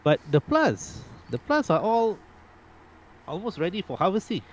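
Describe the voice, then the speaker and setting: A man's voice: sits high, sing-song, measured pace, poor audio. A man narrating as game master of a tabletop game, often breaking into laughs.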